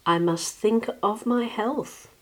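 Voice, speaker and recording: Storybook cockroach heroine read by a woman: young, dainty, courteous female, sweetly spoken and higher than the narration. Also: slow pace. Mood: firm. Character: sweet, polite, beautiful young female cockroach, aged twenty.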